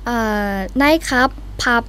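A woman's voice: rapid-fire, fairly bright tone, close to an everyday voice. A woman playing a young travel journalist in a radio drama. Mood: friendly, helpful. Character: young female travel journalist, reserved but helpful.

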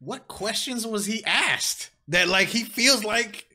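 A young man joking loudly as co-host, speaking on a gaming headset. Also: high voice, slow. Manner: joking, loud quips. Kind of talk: casual reaction chat.

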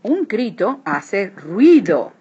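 Slow, lively woman reading a picture book. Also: medium pitch. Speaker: read-aloud narrator. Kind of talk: children's picture book read-aloud. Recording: dull lo-fi recording, clear background noise.

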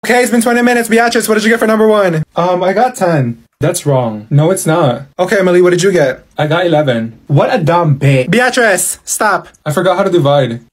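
A man's voice: high voice, expressive, brisk, few pauses, husky, faint music behind. A man rattling through a comedic classroom skit as several bickering, sassy characters. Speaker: sketch comedian voicing a classroom.

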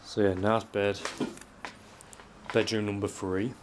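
A very low-pitched man talks casually as he explores, dropping understated, murmured remarks like a vlogger. The handheld camera audio carries clear noise and small clicks. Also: level tone, takes it slowly. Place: inside a derelict house. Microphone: handheld camera microphone.

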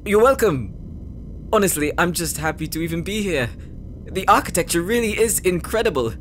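A man playing a nerdy, excitable young forge master in a fantasy audio drama, eager, fussy and easily flustered. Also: high-pitched, normal speed, a little hiss or hum. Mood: nervous, eager. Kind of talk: anime fantasy audio roleplay acting.

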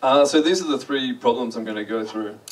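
Young Australian guy on a stage, relaxed and self-mocking, a bit distant from the mic in a large hall. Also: medium pitch, a little fast. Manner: chatty, self-deprecating, asks the audience questions. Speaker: conference speaker. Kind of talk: casual conference talk. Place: large dark lecture hall.

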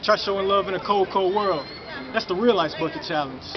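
A man's voice: high voice, a little fast, dull audio, a crowd in the background. Young man performing rhythmic spoken word. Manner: rhythmic, rhyming, building to forceful delivery. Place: outdoors in front of a city mural.

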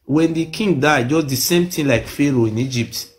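A man's voice: a little high, moderate pace. A middle-aged Nigerian man with a Nigerian accent, speaking emphatically to a phone camera in a small room, repeating his claims insistently. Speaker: self-made video speaker. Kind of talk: impassioned monologue to camera. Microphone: phone or webcam close to face.

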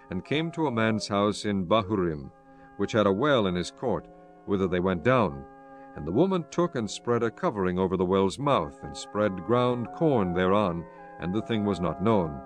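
Male narrator, lowish pitch, solemn scripture storytelling, measured delivery, soft music underneath. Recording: faint music behind the voice.

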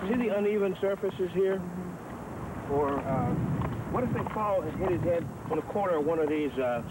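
A man speaking as a police officer, explaining things on site outdoors. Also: high voice. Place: outdoors in open concrete lot. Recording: very noisy outdoors, distant mic.

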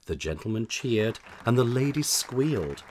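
A man narrating a children's storybook in verse, with theatrical, measured delivery. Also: medium pitch, big pitch swings, slight background noise. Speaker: audiobook narrator.

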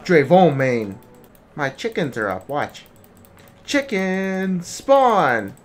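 A man's voice: on the high side, very animated, extremely slow. A young man who streams games, chatting casually with blunt, profane banter. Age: young adult. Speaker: game streamer. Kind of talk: casual gaming chat. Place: indoor room at a desk. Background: music, game.

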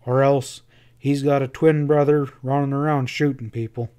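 A man voicing a grave, wounded cowboy in a Western audiobook, quiet and plain-spoken. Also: mid-range voice, fairly even intonation, normal speed, clean tone, lightly acted. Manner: quiet, rustic Western speech.

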